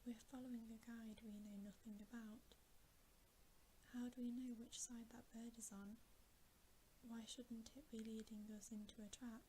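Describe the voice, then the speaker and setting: A woman voicing a suspicious, sullen schoolboy from a storybook in a hushed, grudging whisper. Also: fairly high pitch, level tone, unhurried, close to an everyday voice, poor audio. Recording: faint background hiss.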